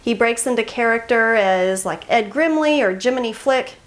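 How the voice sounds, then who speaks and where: Female vlog host for book recommendations: slightly high pitch, enthusiastic, conversational delivery with emphatic praise. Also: moderate pace. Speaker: host of a book review channel. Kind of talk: casual scripted book recommendation to camera.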